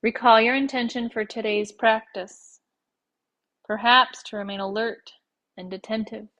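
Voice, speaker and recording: Even-toned woman guiding meditation. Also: on the high side, muffled recording. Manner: measured, gentle phrasing with long pauses between short phrases. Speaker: yoga nidra meditation guide. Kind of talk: guided meditation, slow and soothing narration.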